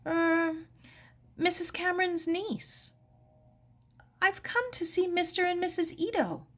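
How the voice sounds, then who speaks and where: A plucky young girl, voiced by a woman reading aloud in a storybook. She is well-mannered and speaks up in a raised, girlish pitch. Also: takes it slowly, fairly bright tone, heavily acted. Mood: hesitant, polite.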